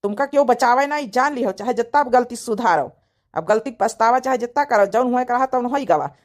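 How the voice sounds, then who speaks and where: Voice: mid-range voice, fairly quick, natural voice. A performer voicing a furious, accusing village man in an Awadhi cartoon comedy, scolding in a melodramatic way. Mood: bitter. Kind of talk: dramatic comedy cartoon dubbing.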